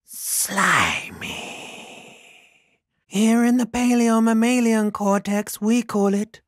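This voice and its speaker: A man voicing a sinister, sneering primal brain in a video game, with a raspy, hissing half-whisper and drawn-out theatrical delivery. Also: high-pitched, lively intonation, no room sound, studio quality, big-room sound. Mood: morbid, taunting. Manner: slow, theatrical, drawn-out, swelling from whispers to cries. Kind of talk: video game voice acting, menacing monologue.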